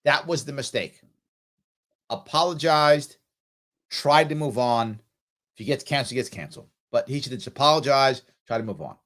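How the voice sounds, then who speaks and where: A man's voice: medium pitch, animated, normal speed, a bit bright. A middle-aged man from the South Bronx, hosting a live ask-me-anything stream, reading viewer comments aloud and answering offhand with fillers. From New York City, South Bronx. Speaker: livestream host answering viewer questions.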